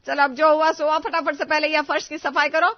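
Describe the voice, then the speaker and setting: A woman's voice: sits high, flat delivery, a little fast, no echo, muffled recording, voice pushed up, natural voice. A woman voicing a domineering middle-aged Indian mother-in-law, exasperated and dramatic in a family story. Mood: loud, scolding.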